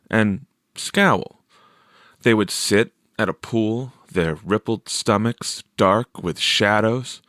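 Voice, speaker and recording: Low-voiced man reading a story, close and dry. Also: fairly bright tone, no room sound. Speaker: audiobook reader. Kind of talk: expressive scripted narration of a short story.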